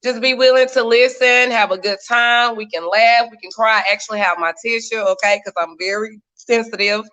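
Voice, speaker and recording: A woman in her thirties, a guest on a streamed chat show, talking about her life with lively, laughing energy and churchy turns of phrase. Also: on the high side, normal speed. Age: 30s. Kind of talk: casual chat. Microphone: video call.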